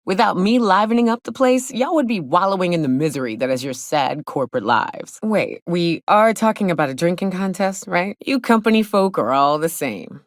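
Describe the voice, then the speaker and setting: An actress plays a hard-drinking woman who hunts big game in a video game. Her voice sits a little low and swings widely in pitch as she talks in a cocky, folksy, sardonic way. The sound is dry, with no room around it. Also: expressive, moderate pace, hardly any pauses, dry recording, lightly acted. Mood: sardonic, teasing. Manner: folksy, drawling slangy talk, cocky and sardonic. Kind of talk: video game character dialogue.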